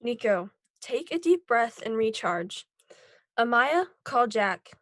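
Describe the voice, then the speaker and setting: A woman playing a brisk, commanding superhero team leader in an amateur table read. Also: a little high, very animated, fairly bright tone, no echo, full character voice. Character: Rory, bossy no-nonsense female werewolf superhero team leader.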